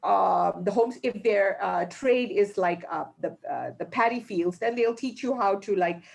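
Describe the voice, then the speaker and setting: Woman from Malaysia, mid-pitched, chatty tourism guest, lots of ums and restarts. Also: measured pace, vocal fry. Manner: chatty, many fillers and restarts. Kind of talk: webinar Q&A answers.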